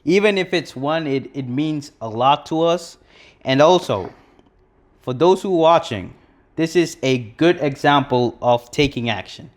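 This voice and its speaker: A man's voice: fairly high pitch, animated, a little slow. A young man from Sri Lanka co-hosting a live podcast in a casual, rambling way, full of restarts and fillers. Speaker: podcast co-host.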